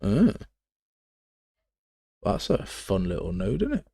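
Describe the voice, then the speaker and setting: Deep-voiced Midlands Englishman, casual streamer. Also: huge pitch swings, slow, gravelly, dark tone, slightly rough, no room sound, studio quality. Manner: rambling, blunt, informal, thinking aloud. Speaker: game development livestreamer. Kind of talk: casual live tutorial chat.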